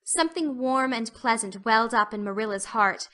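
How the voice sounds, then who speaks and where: A woman's voice: on the high side, expressive, moderate pace, sharp tone. A woman reading scripted audiobook narration of a classic story.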